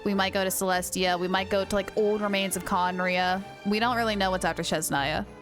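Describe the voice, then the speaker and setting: A woman streams a game and chats casually with her audience. She has a medium-pitched voice and an excitable, gushing delivery. Faint game music runs behind her. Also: brisk. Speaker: game livestreamer. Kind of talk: casual live stream commentary and chat.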